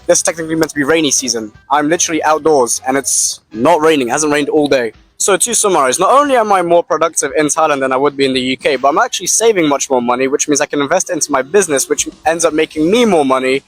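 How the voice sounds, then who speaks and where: A young man whose first language is Russian, vlogging outdoors about saving money in a quick casual way, with slang and the odd stumble. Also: on the high side, expressive, no room sound, faint music behind, rough recording. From Russian-speaking background. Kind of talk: fast-paced money-talk vlog.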